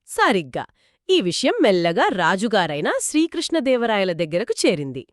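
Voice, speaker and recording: A woman narrating a folk tale in a lively, expressive storytelling manner, trading lines with a co-host. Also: mid-range voice, normal speed, dry recording, studio quality. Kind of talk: scripted two-voice story narration.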